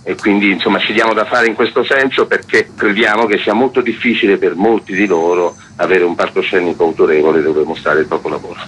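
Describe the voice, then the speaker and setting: A man's voice: deep voice, fairly even intonation, fairly bright tone, slight background noise, poor audio. A man who directs a theatre festival, talking at length in a radio interview with hesitations. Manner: discursive, with filler pauses.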